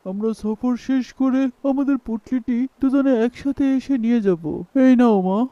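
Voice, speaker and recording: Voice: high-pitched, normal speed, dark tone, dry recording, lightly acted. A performer voicing a polite, formal travelling man in a cartoon, in a dull recording. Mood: polite, earnest. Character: courteous travelling man entrusting a bundle. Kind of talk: cartoon voice acting.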